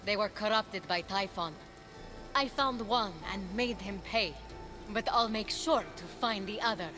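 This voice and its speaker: A woman's voice: medium pitch, measured pace, lightly acted. A woman playing a brave, eager and caring young heroine in a video game cutscene. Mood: concerned, resolute. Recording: loud game music underneath.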